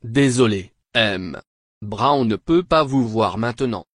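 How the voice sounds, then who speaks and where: A man's voice: mid-range voice, slow pace, dry recording, studio quality. A man reading short French answers for a language lesson in a plain, scripted way. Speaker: French dialogue reader.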